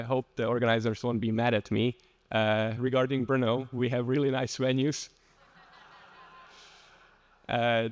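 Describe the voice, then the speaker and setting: A man with a Czech accent gives an informal technical talk at a conference. He is plain-spoken, with a dry joking streak. His pitch sits in a middle range for a man. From Czech Republic. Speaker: conference lightning talk speaker.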